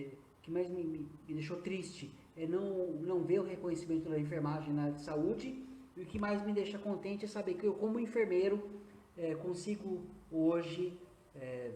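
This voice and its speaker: A man in his fifties with a Brazilian accent, a nurse and acupuncturist answering interview questions earnestly, often pausing on hesitant fillers. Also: sits high, warm tone. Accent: Brazilian Portuguese. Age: 50s. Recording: roomy echo.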